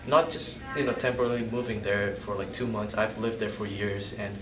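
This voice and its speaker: A man's voice: lowish voice, steady tone, brisk. A young man from Indonesia telling his own story in an interview, hesitant, often restarting and searching for words. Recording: roomy, distant mic, ambient noise.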